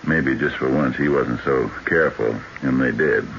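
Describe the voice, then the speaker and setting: An American actor playing a folksy old-West sheriff with a rustic drawl in a radio drama. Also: male voice, rumbling low, moderate pace. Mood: folksy, wary. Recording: muffled, noisy old radio broadcast.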